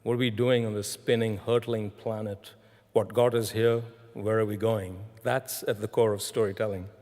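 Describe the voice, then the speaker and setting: A man giving a graduation speech in a measured storytelling manner, recorded in a large hall. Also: on the low side, level tone, darkish tone. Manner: measured, storytelling delivery with deliberate repetition. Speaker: graduation ceremony speaker. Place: large concert hall, live ceremony. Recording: echoing large concert hall.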